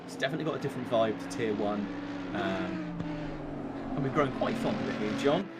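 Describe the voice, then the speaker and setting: A man with a slightly croaky voice, calling a sim race live in a chatty, excitable way that jumps to sudden calls of the action. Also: medium pitch, fairly quick. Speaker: sim racing commentator. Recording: loud game engine noise under the voice.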